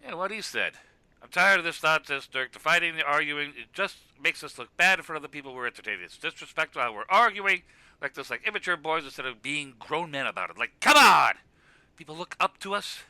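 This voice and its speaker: An exasperated cartoon wrestler played by a man who scolds and mocks. His voice is very bright and forceful, fairly high, with big swings in pitch. He delivers his sarcasm emphatically, like the self-styled straight man. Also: expressive, brisk, no echo, exaggerated voice, poor audio. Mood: earnest, insistent. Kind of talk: scripted comic argument in web animation.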